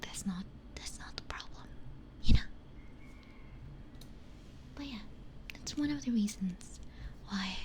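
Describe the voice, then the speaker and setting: A woman whispering casually to live viewers in an ASMR style, hushed and breathy, close on a binaural microphone. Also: mid-range voice, a little hiss or hum. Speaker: ASMR streamer.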